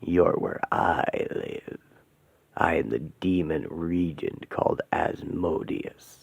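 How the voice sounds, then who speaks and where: A man voicing an ancient, hateful male demon regent who speaks in slow, whispered, menacing taunts. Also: extremely low pitch, very animated, vocal fry, husky, dry recording, voice pushed down, full character voice. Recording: tinny phone-like sound.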